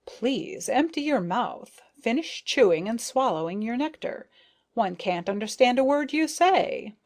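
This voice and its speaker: A woman voicing a good-natured but excitable male rose beetle with an indulgent, proud manner. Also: fairly high pitch, measured pace, voice pushed up.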